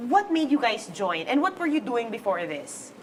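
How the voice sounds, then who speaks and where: A woman's voice: on the high side, big pitch swings, moderate pace, slight background noise. A Filipina woman with a Filipino accent, hosting a live show and mixing English with Tagalog in a bubbly, upbeat way. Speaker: host. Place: newsroom studio.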